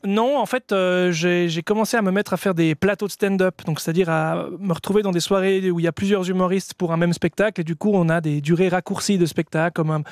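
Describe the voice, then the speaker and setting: Swiss french guy in his 30s, highish voice, joking about himself, dry studio sound. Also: brisk, hardly any pauses, no echo. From French-speaking Switzerland. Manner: relaxed, self-mocking, with light laughs. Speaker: comedian guest. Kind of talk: radio interview. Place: radio studio. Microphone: studio microphone.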